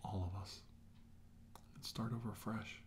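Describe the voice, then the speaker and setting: Casting: male actor as brooding, shaken middle-aged doctor for sci-fi ASMR drama; very slow, soft, mellow delivery slipping into whispers. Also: on the low side, steady tone, a little hiss or hum, natural voice. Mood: somber, brooding. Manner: halting, brooding, often dropping to a whisper. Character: weary, shaken male doctor recounting a battle with aliens. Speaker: performer of ASMR roleplay.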